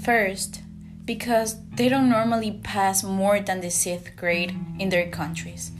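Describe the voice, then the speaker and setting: Student from Costa Rica, reads her script slowly, guitar bed under her. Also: medium pitch, little pitch movement. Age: young adult. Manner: slow, earnest reading. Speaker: student podcaster. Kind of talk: scripted reading.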